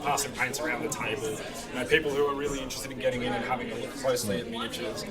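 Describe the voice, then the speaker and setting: Easygoing Aussie host in a loud hall. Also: male voice, medium pitch, racing. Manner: relaxed and rambling, many fillers. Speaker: host interviewing judges. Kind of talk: casual event interview. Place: busy convention hall. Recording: distant mic, loud crowd behind.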